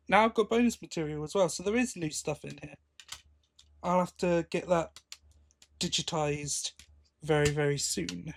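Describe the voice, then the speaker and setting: A man co-hosting a podcast, chatting casually about news. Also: a little high. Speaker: podcast co-host. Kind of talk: casual chat about sci-fi audio drama news.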